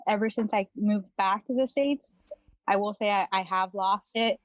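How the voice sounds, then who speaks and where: A woman's voice: mid-range voice, moderate pace, no echo. Chatty young woman, dry close sound. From Venezuela. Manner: chatty, laughs often, many fillers. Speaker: podcast guest. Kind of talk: casual chat.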